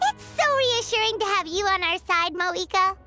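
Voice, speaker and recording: A woman voicing a small, childlike fairy companion in a game, bubbly and eager, with a squeaky voice. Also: sharp tone, heavily acted. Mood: cheerful. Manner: bubbly, refers to self in third person. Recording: music behind the voice.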